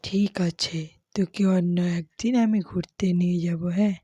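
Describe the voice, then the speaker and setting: A woman's voice: on the low side, steady tone, moderate pace, dark tone, breathy edge, no echo, highs cut off, voice pushed down. A woman voicing a kindly, indulgent father in a cartoon, with a coaxing manner.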